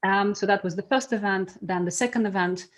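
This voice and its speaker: A woman's voice: mid-range voice, a little fast. A woman in her thirties with a non-native English accent gives an informal talk, hesitantly searching for words. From expat living in Belgium, grew up abroad. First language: not English. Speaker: speaker, event producer. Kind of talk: informal online talk sharing personal lessons. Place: small home room, indoors. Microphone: video call, computer mic.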